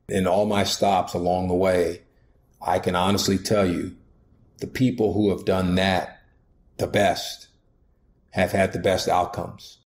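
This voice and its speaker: A man's voice: deep voice, a little mellow. A man in his forties, a college basketball head coach from Liberia, speaking earnestly in a podcast interview with many "you know" and "uh" fillers. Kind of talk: podcast interview, reflective storytelling.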